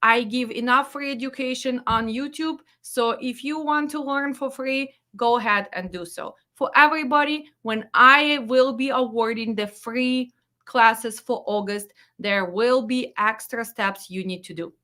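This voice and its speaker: A woman's voice: a little high, measured pace, bright, forceful tone. A woman with a Ukrainian accent giving a blunt, emphatic instructional talk as a freight dispatch trainer, repeating her instructions and speaking slightly broken English. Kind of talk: direct-to-camera instructional talk.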